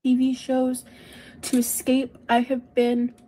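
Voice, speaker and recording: A woman giving a personal, confessional vlog monologue in a halting, self-reflective way. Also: sits high, completely flat delivery, dark tone, a little hiss or hum, low-quality recording.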